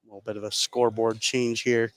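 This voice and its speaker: A man's voice: fairly low pitch, level tone, fast, no room sound. A man giving live color commentary and analysis at a basketball game.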